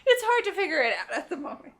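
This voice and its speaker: A woman's voice: very high voice, sing-song, fast. A woman hosting a gaming video with casual, joking, sarcastic commentary. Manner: sarcastic, wry.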